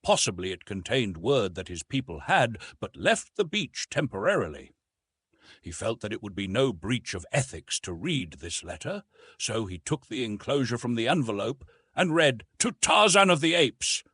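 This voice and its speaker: A man's voice: fairly low pitch, big pitch swings, moderate pace, fairly bright tone, husky, no room sound, studio quality. A man narrating an adventure novel as an audiobook, reading scripted prose expressively.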